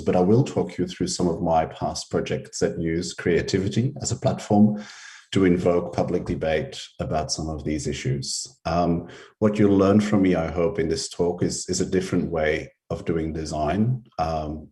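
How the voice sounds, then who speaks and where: A middle-aged man from Belgium, a researcher, giving a conference presentation over a video call, relaxed and hesitant with frequent ums. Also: low-pitched. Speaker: researcher and symposium speaker.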